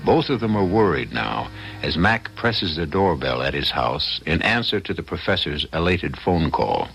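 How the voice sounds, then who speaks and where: An American man hosting and narrating an old radio mystery show in a measured, theatrical, scripted style. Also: deep voice, animated, creaky. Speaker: host and narrator.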